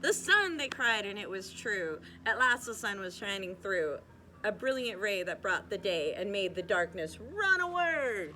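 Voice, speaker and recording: A young woman reading a rhyming picture book aloud at a steady, rhythmic pace, now and then stumbling and restarting a word. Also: medium pitch, unhurried, slight background noise, low-quality recording. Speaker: reader.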